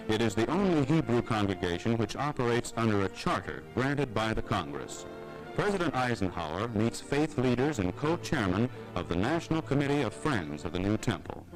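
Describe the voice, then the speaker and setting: A man with an American accent delivering formal, measured 1950s newsreel narration. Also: medium pitch, low-quality recording. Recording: vintage newsreel soundtrack, faint music. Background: faint music.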